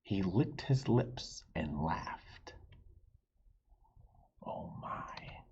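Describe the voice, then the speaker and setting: A man reading a children's picture book aloud in a teacherly way, stopping to ask his young listeners questions, in a husky voice. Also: deep voice, animated, extremely slow, a little mellow, in a whisper, highs cut off. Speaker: read-aloud storyteller.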